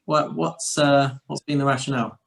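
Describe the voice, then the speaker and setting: A man's voice: mid-range voice, level tone, moderate pace, vocal fry, fairly bright tone. A man in the audience, asking a question politely and with a laugh. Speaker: audience member.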